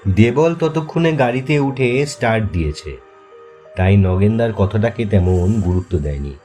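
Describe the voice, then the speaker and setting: A man narrating a scripted ghost story as host, in a measured, deliberate way with suspenseful pauses. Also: fairly low pitch, animated, warm tone. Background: faint music.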